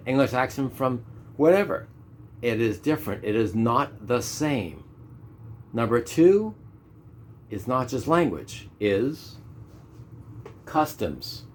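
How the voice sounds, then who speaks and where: A man's voice: medium pitch, a little mellow. A Canadian man in his fifties with a mid-range voice delivers a teaching talk. He drives his points home with repetition and rhetorical questions. A faint hiss sits under the recording. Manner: emphatic, repeats key points, rhetorical questions. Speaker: ESL teacher. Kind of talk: instructional monologue.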